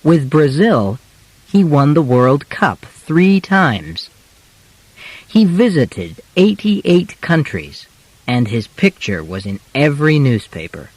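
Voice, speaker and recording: A man narrating a simple scripted story as an audiobook, reading in a measured, clearly enunciated way with pauses between short sentences. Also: a little high, sing-song, a little mellow, no room sound, poor audio.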